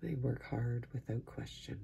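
A man softly guiding a sleep meditation in a hushed, breathy voice that often sinks into a whisper, slow and soothing with long pauses. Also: mid-range voice, a little hiss or hum. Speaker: meditation guide. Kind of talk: guided sleep meditation, soft-spoken.

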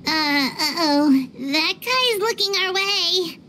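A woman voicing a tiny, chirpy, outspoken fairy companion in a video game, with a squeaky childlike voice. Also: lively intonation, takes it slowly, bright, forceful tone, slight background noise, exaggerated voice. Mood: puzzled, uneasy.

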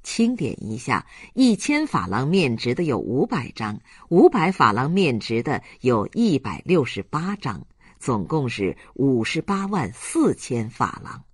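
A male audiobook narrator reads literary fiction with lively, varied intonation. His voice is husky and recorded dry and close. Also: medium pitch, a little slow, no echo. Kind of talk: dramatic literary narration of a novel.

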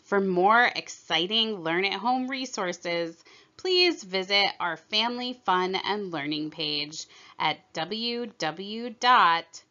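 A woman's voice: medium pitch, lively intonation, slow, bright, forceful tone, muffled recording. A woman storyteller reading a scripted children's narration aloud.

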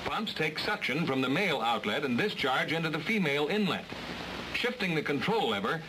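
A man's voice: fairly high pitch, fairly quick. Man narrating an old training film. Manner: steady, matter-of-fact instruction. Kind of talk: scripted instructional narration. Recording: hissy old film transfer.

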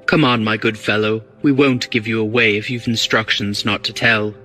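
A man reading the part of a cool, bold, quick-witted English gentleman rogue in an audiobook, breezy and commanding. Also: on the low side, steady tone, faint music behind. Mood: brisk, commanding.

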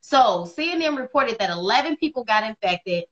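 A woman in her thirties co-hosting a casual live video chat from home, animated and quick to laugh, on a phone or laptop mic. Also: a little high, dull audio, a loud crowd. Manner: animated, laughs often, bright delivery. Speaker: co-host. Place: indoors at home. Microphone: phone or laptop camera on video call.

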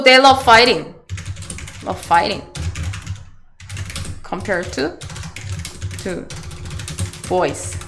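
A Korean woman in her thirties, a Go teacher answering chat questions on a webcam video call, chatty and halting in English with a Korean accent. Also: high voice, bright, forceful tone, slight background noise. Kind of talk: live online lecture Q&A. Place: small room indoors.

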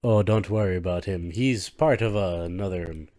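A man's voice: sits low, normal speed, mellow, natural voice. A man playing a shy, awkward lab scientist in a comic dub, hesitant and stammering. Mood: shy, nervous. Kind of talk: comic book dub acting.

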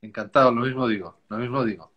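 A man in his fifties from Spain with a Spanish accent softened by years in Chile, a winemaker chatting casually and at length on a video call. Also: mid-range voice, fairly even intonation, fast, dull audio. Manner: chatty, rambling, laughs easily. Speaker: winemaker guest. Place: dark room at home. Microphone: video call, laptop camera.

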